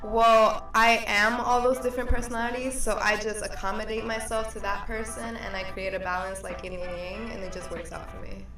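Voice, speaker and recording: A woman's voice: medium pitch, level tone, a little fast, few pauses, heavy reverb, recorded from a distance, over music, poor audio. A woman guest on a podcast, talking in an upbeat, teasing way and laughing easily. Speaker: guest, modeling agency owner. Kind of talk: casual podcast chat.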